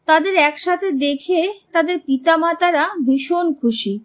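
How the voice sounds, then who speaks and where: Female narrator for animated tales: high pitch, scripted storytelling delivery. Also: measured pace.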